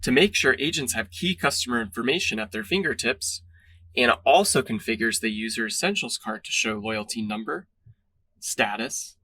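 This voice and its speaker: A man's voice: mid-range voice, normal speed, pushed and bright, husky. A man reading a scripted product demo narration in a polished, instructional way.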